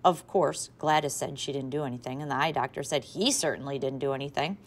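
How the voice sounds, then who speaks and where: Low-voiced woman reading a story aloud. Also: a little fast. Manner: lively expressive storytelling. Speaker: teacher. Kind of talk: reading a chapter book aloud to a class.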